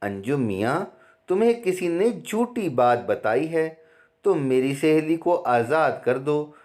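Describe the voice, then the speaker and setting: A man's voice: on the high side, expressive, slow pace, darkish tone. A man voicing a kind female water fairy, an earnest and caring friend, in a children's story.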